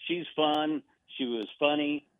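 A man's voice: fairly high pitch, steady tone, measured pace, clear, resonant tone, no room sound. A man chatting casually as a radio guest, rambling with many restarts and fillers. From Argentina. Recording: tinny phone-line sound.

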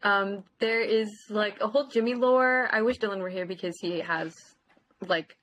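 A woman's voice: medium pitch, normal speed, a bit bright, lo-fi sound. A nineteen-year-old woman from Southern California who sings in a band, talking casually and hesitantly with many ums. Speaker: band singer. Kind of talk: casual interview chat.

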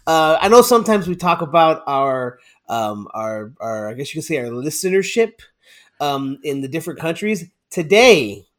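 Male podcast co-host, voice on the high side, excitable and laughing, stumbles over his words. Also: moderate pace. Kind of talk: casual chat.

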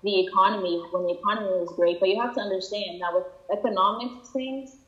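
A woman's voice: mid-range voice, steady tone, fast. Teen girl, panelist, blunt and opinionated, muffled echoey recording. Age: teens. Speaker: discussion participant. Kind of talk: casual group discussion.

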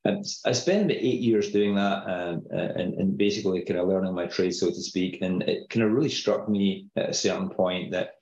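Deep-voiced Scottish man, roomy sound. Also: a little mellow, muffled recording. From Scotland. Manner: measured, explanatory, uses filler phrases. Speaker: company founder guest. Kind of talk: podcast interview.

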